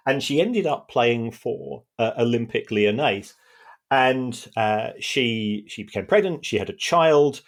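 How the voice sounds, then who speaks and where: A man giving conversational expert analysis on a podcast, explaining carefully in measured, hesitant phrases. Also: mid-range voice, lively intonation.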